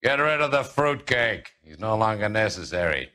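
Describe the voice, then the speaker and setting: An actor playing a middle-aged crooked American tycoon in a video game, curt, commanding and sardonic. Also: male voice, fairly low pitch, sing-song, normal speed, sharp tone. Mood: cold menace. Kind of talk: dramatic video game acting.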